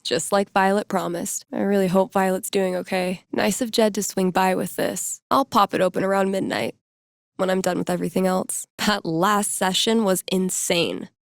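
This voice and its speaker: A woman's voice: mid-range voice, steady tone, moderate pace, gravelly, dry recording, clean studio sound, lightly acted. An actress playing a wry, slangy young woman who DJs and runs tabletop games, in a video game. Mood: wry, casual. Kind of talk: video game character inner monologue.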